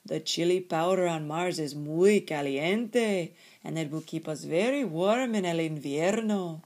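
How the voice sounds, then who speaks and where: A woman acts a bossy storybook chihuahua gang leader. The delivery is emphatic and deliberate, in a husky tone. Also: low voice, darkish tone. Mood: bossy, explanatory. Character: biggest of tiny chihuahuas, bossy Spanish-speaking gang leader.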